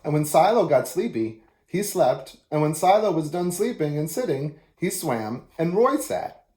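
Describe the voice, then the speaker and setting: A man reads a children's picture book aloud in an expressive storytelling manner. His voice is fairly high and warm, with a mellow tone. The recording has a noticeable roomy echo. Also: unhurried. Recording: echoey room.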